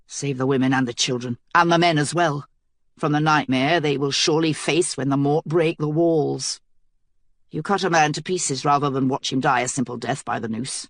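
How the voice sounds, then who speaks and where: A woman's voice: sits low, no room sound, clean studio sound, voice pushed down. A woman reading aloud, voicing a bitter male soldier captain who accuses his queen.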